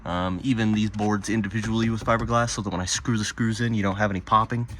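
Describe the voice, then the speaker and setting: A man's voice: on the low side, steady tone, fast talker, fairly bright tone. A man giving an easygoing, unscripted show-and-tell of a DIY project in an offhand, casual way. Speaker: vlogger showing a DIY car build. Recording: clear background noise and ambience.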